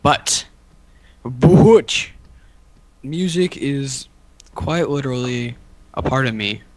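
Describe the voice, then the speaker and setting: A man's voice: mid-range voice, expressive, takes it slowly, a little mellow, no echo. A young man co-hosting a podcast in casual, unscripted chat, rambling along in a goofy, mock-boastful way. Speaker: podcast co-host.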